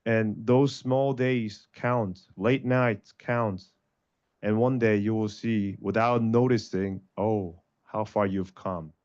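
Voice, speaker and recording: Man, 30s, earnest motivational panelist; lowish voice, lo-fi sound. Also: fairly even intonation, slow, darkish tone. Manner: earnest, motivational, repeats phrases. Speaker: panelist and martial arts instructor. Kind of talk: panel discussion answer.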